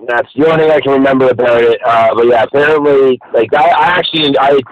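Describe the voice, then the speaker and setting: A man's voice: mid-range voice, steady tone, fast, narrow, tinny audio, a little hiss or hum. A man in casual podcast chat, laid-back, laughing often and swearing casually. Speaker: podcast co-host.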